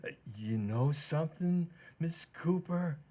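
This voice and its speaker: A man playing an oafish, lovestruck butcher in 1940s radio comedy, husky-voiced and halting. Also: a little high, lively intonation, mellow. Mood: smitten, coy. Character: smitten, oafish male butcher, bashful yet flirty.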